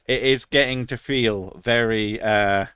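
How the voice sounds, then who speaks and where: A man's voice: medium pitch, steady tone, measured pace, a bit bright, clean tone, no room sound, studio quality. A British man in his thirties chatting casually on a stream, crude and sarcastic, swearing freely. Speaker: streamer reading chat. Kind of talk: casual live stream chat.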